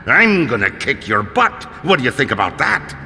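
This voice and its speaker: An actor playing a villainous undead zombie pirate in a video game, gravelly and theatrical, with gloating, drawn-out menace. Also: male voice, mid-range voice, sing-song, bright, forceful tone, faint music behind, full character voice. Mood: mocking bluster. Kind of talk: video game character acting. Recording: long cavernous reverb.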